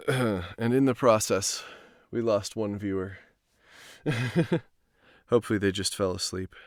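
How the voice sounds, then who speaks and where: A man's voice: fairly low pitch, a little slow, no echo, clean studio sound. A man reading stories aloud on a live stream, with an informal manner. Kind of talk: live storytelling and casual stream chat.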